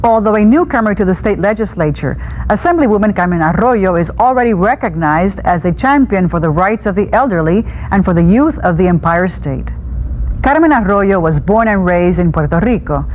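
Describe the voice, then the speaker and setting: A woman narrating a documentary in a measured, formal way. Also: mid-range voice, animated, a little mellow, a little hiss or hum, low-quality recording.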